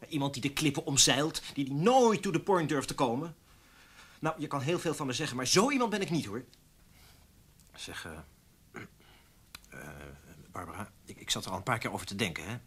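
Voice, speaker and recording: A worked-up wavering newspaper columnist in a radio play, husky, stammery, pitch all over. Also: male voice, very animated, moderate pace, long pauses, fairly bright tone. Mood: defensive. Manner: hesitant, stammering, agitated. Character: indignant, wavering male newspaper columnist, self-justifying. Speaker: radio drama actor. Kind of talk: naturalistic radio play dialogue.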